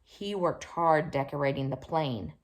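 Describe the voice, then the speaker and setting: A woman reading a children's picture book aloud in an expressive, animated way, with little asides to her listeners. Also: sits low, unhurried. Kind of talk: storybook read-aloud to young children.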